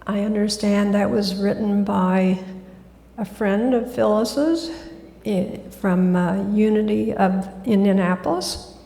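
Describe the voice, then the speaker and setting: A woman giving a gentle, soft-spoken talk as a guest speaker in a church. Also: mid-range voice, gravelly. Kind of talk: sermon talk. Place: church sanctuary. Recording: echoey hall.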